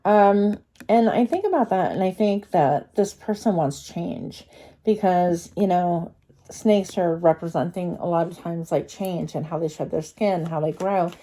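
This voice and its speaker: A woman's voice: fairly low pitch, unhurried, warm tone. A woman with a cold and a congested voice, giving a casual, chatty tarot reading to her viewers with frequent fillers and restarts. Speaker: tarot card reader.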